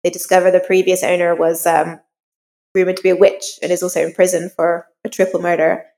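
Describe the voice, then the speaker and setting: A woman's voice: fairly low pitch, little pitch movement, brisk, mellow, big-room sound. A woman talking conversationally as a guest author in an interview.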